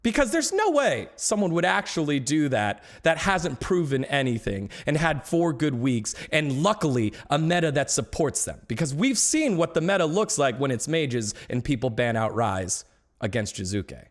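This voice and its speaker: A man's voice: fairly high pitch, big pitch swings, normal speed. A man talking casually on a live stream about esports, ranting with growing indignation.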